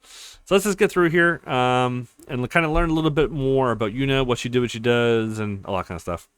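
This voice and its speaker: A man's voice: mid-range voice, a little fast, a bit bright, clear, resonant tone, dry recording. A man hosting a let's play, talking casually over a visual novel with loose, hesitant asides. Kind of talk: casual game playthrough commentary, reading dialogue aloud.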